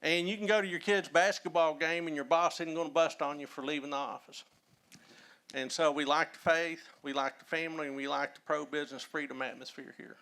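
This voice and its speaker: A man from north Texas with a Texan accent, a historian speaking as a guest and telling folksy stories with many pauses. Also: a little high, steady tone, fairly bright tone, rough recording. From Texas, Dallas-Fort Worth area. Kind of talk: casual storytelling talk.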